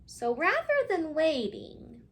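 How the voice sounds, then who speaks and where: Woman, high and very lively voice, expressive children's story reader who leaves dramatic pauses. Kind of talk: expressive picture-book read-aloud for children.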